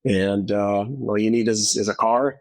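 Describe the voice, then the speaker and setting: A man's voice: lowish voice, fairly even intonation, measured pace, no room sound. A man from Israel, a dermatologist guest, answering in a hesitant way with many fillers and restarts. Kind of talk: interview answers.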